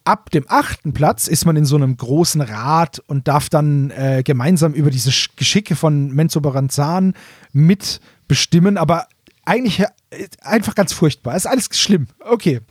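A man's voice: fairly high pitch, moderate pace, breathy edge, dry recording, studio quality. A man co-hosting a podcast, retelling a story in casual, colloquial phrases and correcting himself as he goes. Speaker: podcast co-host.

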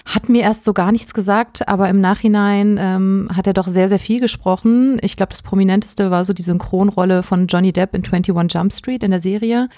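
A woman's voice: mid-range voice, warm tone, no echo, clean studio sound. A woman co-hosting a podcast in casual, semi-prepared talk, chatty and informative with plenty of detail. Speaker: podcast co-host.

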